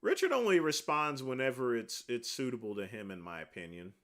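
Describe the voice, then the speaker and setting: A deep-voiced man hosts a casual livestream and rambles through viewer questions one by one. His pitch moves a lot as he talks, and his tone is bright and forceful. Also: animated, brisk. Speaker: livestream host answering chat.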